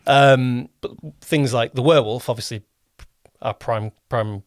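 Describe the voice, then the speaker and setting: A man talks as the host of a casual interview podcast. His voice sits at an average pitch. He rambles a little, circling back to reword what he asks, and the recording is dry. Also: measured pace, dry recording. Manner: rambling, rephrases questions repeatedly. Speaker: podcast host and series producer.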